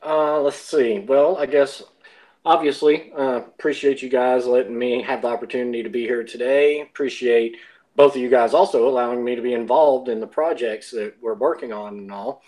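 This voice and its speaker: A man's voice: medium pitch, steady tone, normal speed, a little mellow, low-quality recording. A man speaking as a podcast guest, politely and casually plugging his own show.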